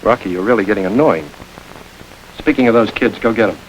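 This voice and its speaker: A man playing a calm, commanding gang boss. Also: on the low side, brisk, a little hiss or hum, close to an everyday voice, low-quality recording. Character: smooth, calculating middle-aged gang boss. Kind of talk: 1930s crime film acting.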